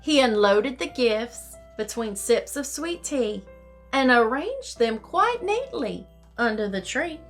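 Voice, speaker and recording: A woman telling stories to children in an expressive, theatrical, deliberate way. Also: a little high. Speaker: storyteller reading to children. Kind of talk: expressive storybook reading in rhyme. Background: music.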